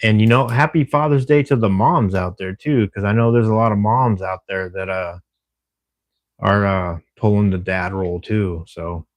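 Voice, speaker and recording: A man's voice: lowish voice, normal speed. A man casually chatting on a livestream, reading viewer comments in a friendly, rambling way with plenty of fillers. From Texas, USA. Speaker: livestreamer working a camera security shift.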